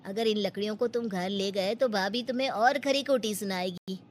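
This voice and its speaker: A woman's voice: mid-range voice, normal speed, slight background noise, natural voice. A woman voicing a kind, naive, hardworking male woodcutter in a Hindi moral-story cartoon, earnest and friendly.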